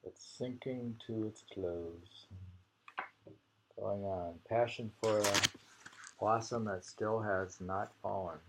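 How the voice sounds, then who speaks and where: Male poetry reader, creaky voice, unhurried and deliberate, conversational asides, faint noise behind. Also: lowish voice, fairly even intonation. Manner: deliberate, repeats lines and muses on them. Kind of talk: reading poems aloud with casual discussion.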